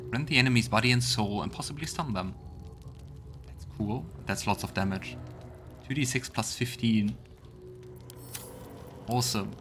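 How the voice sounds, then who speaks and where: A man with a fairly low voice hosts a let's play in a casual way. He thinks aloud and reads on-screen text, with clear game music playing behind him. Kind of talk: casual gameplay commentary. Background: video game music.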